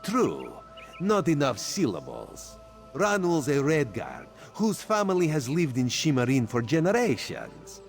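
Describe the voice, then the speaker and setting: Playful, secretive cat-man spy for a game, lively sing-song delivery, speaks of himself in third person, faint music behind. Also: on the high side, unhurried, creaky, exaggerated voice. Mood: sly. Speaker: spymaster quest giver. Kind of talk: video game character dialogue.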